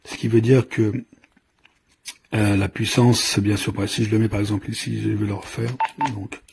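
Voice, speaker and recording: A man's voice: lowish voice, little pitch movement, normal speed, creaky, a little mellow, slight background noise. A man giving an informal hands-on product demonstration, explaining in a hesitant, improvised way as he goes. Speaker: product reviewer.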